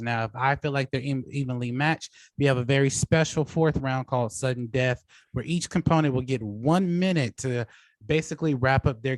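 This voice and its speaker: A man's voice: mid-range voice, no echo. Casual man hosting, close dry sound. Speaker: debate show host and judge. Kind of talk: casual online debate hosting.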